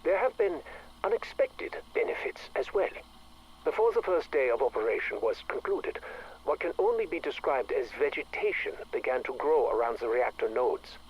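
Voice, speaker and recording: An actor playing a proud, cold scientist director who speaks formally and with measured pomposity in a video game audio log. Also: male voice, mid-range voice, lively intonation, slightly rough, muffled recording. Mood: proud, clinical. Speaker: project director.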